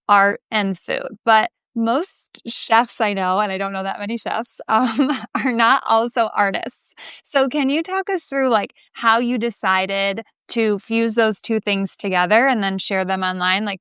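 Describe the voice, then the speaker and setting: A woman's voice: mid-range voice, unhurried, hardly any pauses, a bit bright, clean tone, dry recording, clean studio sound. A woman hosting a live online interview, asking long friendly questions and laughing along with her guest. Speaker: interview host. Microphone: remote live call.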